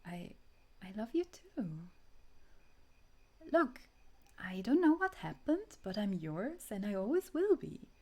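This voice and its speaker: A woman acting a tender, wistful girlfriend in an intimate romantic audio roleplay, speaking softly and breathily, often dropping toward a whisper. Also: medium pitch, sing-song, takes it slowly, pauses often. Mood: concerned, affectionate. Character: tender, wistful time-traveling girlfriend speaking to her beloved.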